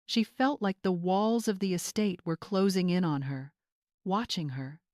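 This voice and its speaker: A woman's voice: lowish voice, measured pace, a little mellow, no echo, studio quality. A woman narrator reading a scripted story in a dramatic audiobook style.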